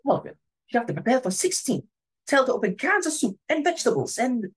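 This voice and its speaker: A woman's voice: sits high, very animated, fast talker, breathy edge, full character voice. A woman playing a fussy, flustered society host in an amateur play reading, speaking huskily in fretful exclamations. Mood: flustered, irritated.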